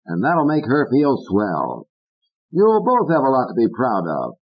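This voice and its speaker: A man voicing a foul-mouthed, bullying male ventriloquist dummy in an audiobook, shrill and sneering. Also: wildly swooping pitch, a little slow, breathy edge, lo-fi sound, full character voice. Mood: mocking. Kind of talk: audiobook character voice.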